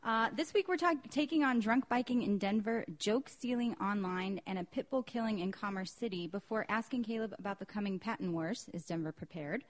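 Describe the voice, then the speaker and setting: An American woman hosts a local podcast in a conversational way. Her voice sits at an ordinary pitch for a woman, and she moves between introducing items and casual chat. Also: fairly quick, few pauses. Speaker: podcast host.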